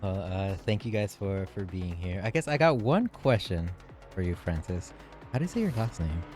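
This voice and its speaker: Male esports race host, deep voice, loose conversational delivery over music. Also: lively intonation, normal speed. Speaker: race broadcast host interviewing drivers. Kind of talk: casual livestream post-race interview and sign-off.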